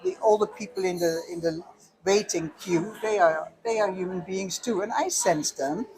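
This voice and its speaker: A woman's voice: on the low side, moderate pace, crowd noise, poor audio. An older woman with a Dutch accent, co-hosting a casual chat and telling reflective stories in slightly broken English. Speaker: show co-host.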